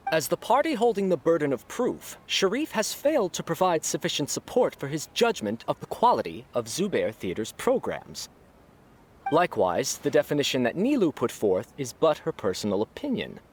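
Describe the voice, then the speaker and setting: Solemn debate judge, swooping intonation. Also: male voice, on the high side, wildly swooping pitch, moderate pace, slight background noise. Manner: formal, declamatory. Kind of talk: formal ruling in a game cutscene.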